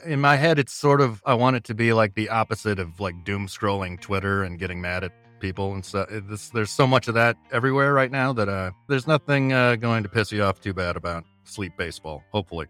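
A man being interviewed, talking casually in a halting, informal, understated way. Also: lowish voice, fairly bright tone, no room sound, soft background music. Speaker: interviewee, audio fiction creator.

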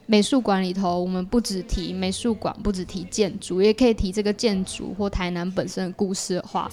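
A woman with a Taiwanese accent explains a creative project in casual interview chat, often softening her points with small asides. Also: medium pitch, steady tone, a little fast, soft background music. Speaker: podcast guest, audio drama creator. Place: small partitioned dessert cafe in an alley.

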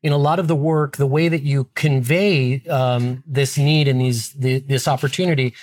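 A man's voice: medium pitch, steady tone, normal speed, a little mellow, no room sound, clean studio sound. A man hosting a podcast, reading an upbeat intro and then interviewing a guest in a friendly manner. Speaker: podcast host.

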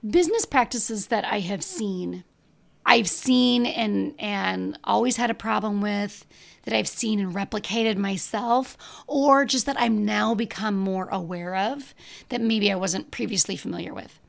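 Woman podcast host, froggy voice from allergies, candid casual delivery. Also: mid-range voice. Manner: chatty, frank, emphatic with casual swearing. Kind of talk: casual solo podcast monologue.

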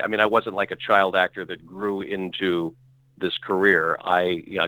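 Bright-toned middle-aged man telling stories. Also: deep voice, no room sound, poor audio. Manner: rambling, self-correcting, with frequent fillers. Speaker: actor guest. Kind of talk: relaxed conversational storytelling.

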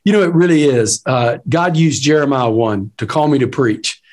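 A man's voice: mid-range voice, normal speed. A man hosting a faith interview, talking in a casual, folksy, emphatic way. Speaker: interviewer, preacher. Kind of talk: faith talk show interview.

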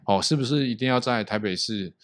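A man's voice: mid-range voice, brisk. A man with a Taiwanese Mandarin accent, chatting casually as a podcast host and explaining things at length.

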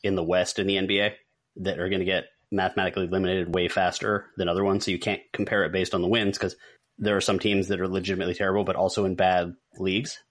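Deep-voiced man chatting as podcast host. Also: level tone, fast. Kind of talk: casual panel chat.

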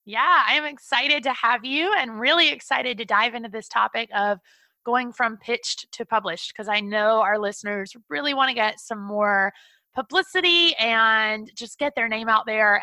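Bright, upbeat woman podcast host. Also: on the high side, moderate pace, no echo. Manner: upbeat, gushing agreement. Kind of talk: casual interview chat.

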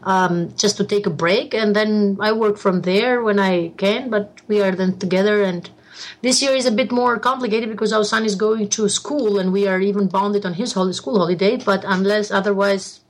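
A woman from Albania talks as a guest in a relaxed interview, her voice sitting at an ordinary middle pitch. She laughs easily and stumbles through restarts as she tells her stories. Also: moderate pace, rough recording. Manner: laughs often, frequent restarts. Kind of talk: casual interview chat.

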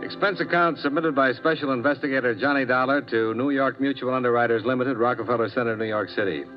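A man's voice: medium pitch, quick pace, close to an everyday voice. An actor playing a wry, hard-boiled male insurance investigator who narrates a 1950s radio drama. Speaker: narrator and lead. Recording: lo-fi vintage radio recording with music.

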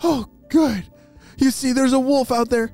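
Storyteller doing a scared little girl bunny for a kids story, high timid voice, music underneath. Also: a little slow, warm tone. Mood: nervous. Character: frightened young female rabbit, timid and tearful.

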